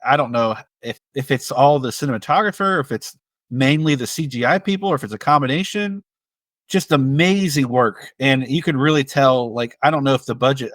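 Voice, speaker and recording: A man co-hosting a casual film podcast, speaking in a hesitant, halting but appreciative way. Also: medium pitch, a bit bright, no room sound. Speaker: podcast co-host. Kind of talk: casual movie discussion podcast.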